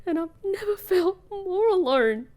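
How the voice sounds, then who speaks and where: A woman's voice: extremely high pitch, lively intonation, unhurried, darkish tone. A woman playing a hurt, bitter young woman home from captivity in an emotional audio drama. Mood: angry, anguished. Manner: emotional, dramatic, British slang.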